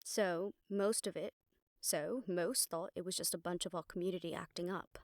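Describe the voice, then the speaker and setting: A sharp-tongued witch detective played by a woman in an intimate audio drama. Her voice has a pronounced creak and sits in a very dry recording. She explains things in a casual, wry way, like a seasoned investigator briefing an exasperating partner. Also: lowish voice, fairly even intonation, moderate pace, no room sound, close to an everyday voice. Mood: focused, explaining. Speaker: audio roleplay voice actor. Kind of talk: conversational audio roleplay acting.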